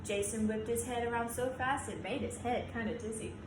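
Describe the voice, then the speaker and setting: A woman reads a children's picture book aloud in an expressive, teacherly way. Her voice sits a little high. The room is echoey, with clear noise behind her. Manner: expressive storytelling, drawn-out sound words. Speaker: elementary teacher reading aloud. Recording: roomy echo, clear background noise.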